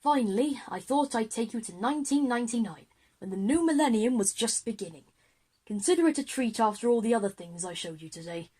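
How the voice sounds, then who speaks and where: A woman's voice: fairly high pitch, animated, brisk, warm tone, slightly rough. A woman voicing a well-meaning, flustered male history teacher in a children's audio drama, explanatory and apologetic. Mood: apologetic, reassuring.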